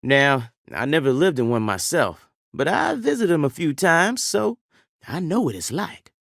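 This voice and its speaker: A man's voice: fairly high pitch, big pitch swings, measured pace, vocal fry, fairly bright tone, no room sound, studio quality, full character voice. A man playing a folksy, jovial small-town sheriff in a video game, with a rambling Southern US drawl. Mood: jovial, chatty.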